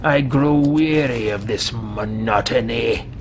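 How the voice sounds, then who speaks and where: Man playing a pompous, slow-spoken fantasy mage. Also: medium pitch, background noise, pitched below the performer's own voice. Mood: bored, imperious. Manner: pompous, disdainful, theatrical, formal wording. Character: haughty, greedy male mage adventurer, easily bored and scornful. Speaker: let's-play host roleplaying a game character. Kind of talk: theatrical in-character game commentary.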